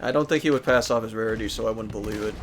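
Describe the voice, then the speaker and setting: A man streaming a video game, reacting loudly, swearing and laughing as he plays. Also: on the low side, racing, faint music behind. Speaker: gamer streaming a playthrough. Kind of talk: live gameplay commentary.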